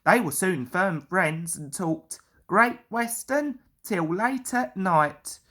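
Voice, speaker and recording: A woman's voice: fairly low pitch, takes it slowly, a bit bright. A woman narrating a children's story as its storyteller.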